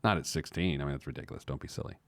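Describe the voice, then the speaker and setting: A man's voice: a bass voice, racing, creaky, dry recording. A man hosting a personal finance show in a casual, playfully digressive, self-mocking way, repeating phrases for emphasis. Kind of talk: casual talk show monologue with some letter reading.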